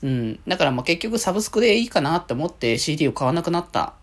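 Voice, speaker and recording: A man's voice: on the high side, big pitch swings, rapid-fire. A man hosting a casual solo radio talk, chatting in a rambling, conversational way.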